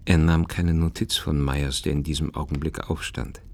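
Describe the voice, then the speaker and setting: Very deep, gravelly male narrator. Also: level tone, normal speed. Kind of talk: scripted literary narration for a radio play. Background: plucked guitar music.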